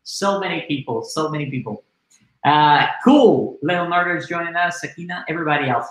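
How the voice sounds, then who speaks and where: A man's voice: fairly high pitch, lively intonation, brisk. A young man from Brazil hosting a live webinar over a video call, talking casually and enthusiastically with lots of fillers. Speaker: webinar host. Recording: roomy echo on a video call.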